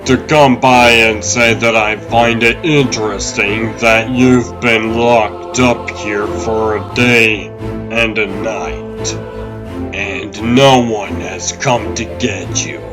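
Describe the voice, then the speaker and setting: A man playing a dogged, world-weary police detective who needles calmly in a comic dub. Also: lowish voice, slow. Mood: calm, needling. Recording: music behind the voice.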